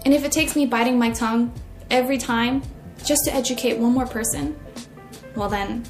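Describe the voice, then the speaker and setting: Young female, American, expressive podcast essay narrator, higher-pitched voice, roomy sound. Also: moderate pace. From United States. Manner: expressive, acts out quoted remarks. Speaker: podcast host. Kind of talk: scripted personal essay narration. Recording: roomy echo, faint music.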